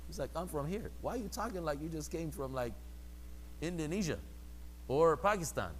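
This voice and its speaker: Male lecturer, slightly high voice, casual teaching tone, faint hum. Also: lively intonation, moderate pace, poor audio. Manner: conversational, acts out quoted people in higher mocking voices. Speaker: religious teacher.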